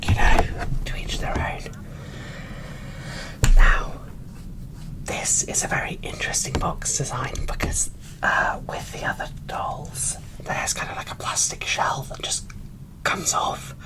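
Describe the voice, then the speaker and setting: Slow, whispering woman doing ASMR. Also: recorded from a distance, low-quality recording. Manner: soft, unhurried, breathy whispering. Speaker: host of an ASMR doll unboxing channel. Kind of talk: whispered ASMR unboxing commentary.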